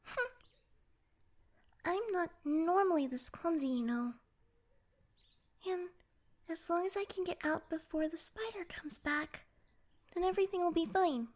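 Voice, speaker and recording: Fantasy ASMR roleplay role: little pixie played by a woman, extremely high voice, flustered and stammering, proud, wary of humans. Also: a little slow, pauses often. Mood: wary, bargaining.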